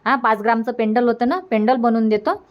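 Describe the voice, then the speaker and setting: Woman playing a resigned old village mother, high-voiced. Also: fairly even intonation, brisk, dull audio, lightly acted. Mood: resigned, placating. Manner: plain, placating, resigned. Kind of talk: Marathi cartoon comedy dubbing.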